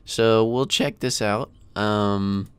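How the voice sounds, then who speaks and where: An American man in his thirties hosting a casual software screencast tutorial in an informal, chatty way, close to a desk mic. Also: on the low side, slow pace, clean tone, no echo. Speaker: tutorial host. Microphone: close desk microphone.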